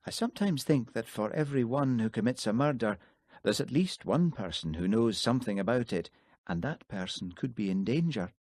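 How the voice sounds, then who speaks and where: A man's voice: medium pitch, lively intonation, normal speed, a little mellow, no room sound, studio quality, voice pushed up, close to an everyday voice. A man voicing a frank, teasing young woman reporter in an audiobook reading.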